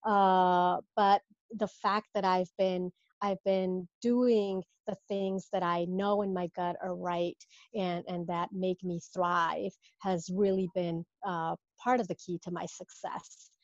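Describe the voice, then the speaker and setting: A woman's voice: lowish voice, flat delivery, takes it slowly, dry recording, muffled recording. A woman in her fifties from Mexico, a business coach, talking through her career in a casual interview with many fillers and restarts.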